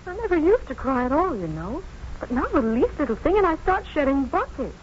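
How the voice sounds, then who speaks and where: Devoted, resolute wife, heartfelt 1950s radio acting, lively intonation, noisy vintage sound. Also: female voice, high-pitched, fairly quick, muffled recording. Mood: fragile, emotional.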